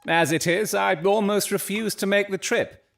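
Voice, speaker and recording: A man playing a brilliant, proud Victorian gentleman detective in an audio drama, with formal, eloquent and theatrical speech. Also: high-pitched, steady tone, normal speed, creaky, no room sound, soft background music. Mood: courteous, probing.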